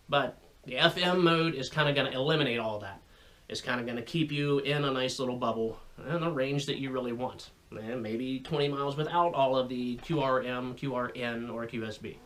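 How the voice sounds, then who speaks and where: Male hobbyist vlogger, American, mid-pitched voice, folksy and colloquial, explains technical things informally. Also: a bit bright. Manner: folksy, plain-spoken, colloquial. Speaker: radio hobbyist video host.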